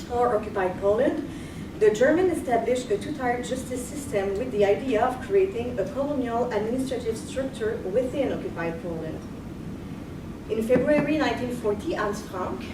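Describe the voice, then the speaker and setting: A young woman giving a history lecture, partly read from notes, measured and earnest, stopping now and then to rephrase. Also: a little high. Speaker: guest lecturer. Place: lecture room. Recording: echoey room, far mic, background noise.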